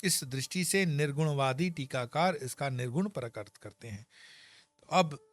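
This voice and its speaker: A man's voice: high-pitched, sharp tone, dry recording. A man giving an emphatic spiritual discourse on a scripture, explaining verses with homely examples and scolding asides. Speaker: spiritual teacher. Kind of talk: Gita discourse.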